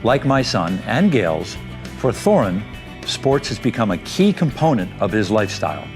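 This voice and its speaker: A man's voice: fairly low pitch, very animated, moderate pace, faint music behind. A middle-aged man presenting a documentary piece, mixing narration with personal reflection as a parent advocate.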